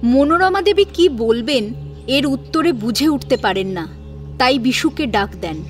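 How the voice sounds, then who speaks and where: A woman's voice: high voice, animated, a little slow. A woman narrating a scripted story in a dramatic storytelling style. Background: background music.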